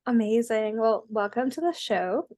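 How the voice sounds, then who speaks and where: A woman's voice: on the high side, expressive, a little slow, a little mellow, no echo, studio quality. A woman hosting a podcast, asking questions casually with many fillers. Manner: friendly, filler-heavy. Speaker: podcast host. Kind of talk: casual interview.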